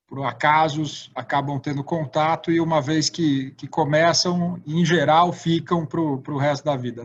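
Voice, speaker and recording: A man's voice: mid-range voice, a little hiss or hum. A man with a Brazilian Portuguese accent who hosts a travel talk show and interviews a guest, often ending his points with "né?". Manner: warm-up tags "né?" after statements. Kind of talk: hosting an interview. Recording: dull lo-fi call audio.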